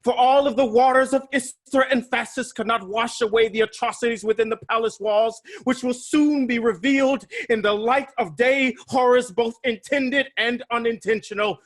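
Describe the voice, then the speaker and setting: An actor playing a grim palace messenger, giving a grave and theatrical recitation in a staged reading held over a video call. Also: male voice, very high voice. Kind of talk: staged reading of a Greek tragedy.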